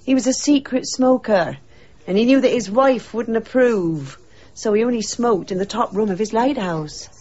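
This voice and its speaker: Sly village gossip, high husky voice, teasing, radio drama. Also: female voice, moderate pace, dark tone, muffled recording. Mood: confiding. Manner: teasing, knowing, colloquial. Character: saucy, gossipy village woman, sly and unfazed.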